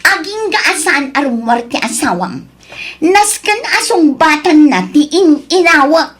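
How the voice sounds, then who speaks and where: Actress as a brazen, high-pitched Filipino mistress. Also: female voice, sing-song, measured pace, fairly bright tone, heavily acted. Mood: defiant, demanding. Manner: insistent, confrontational. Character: brazen, demanding young mistress confronting her lover's wife. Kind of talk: Ilocano audio drama acting.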